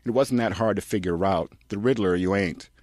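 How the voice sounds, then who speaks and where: A man's voice: on the low side, normal speed, darkish tone, dry recording, studio quality, lightly acted. A man voicing a baffled, irritated moviegoer, incredulous and snarky, in a comic script reading. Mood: scornful.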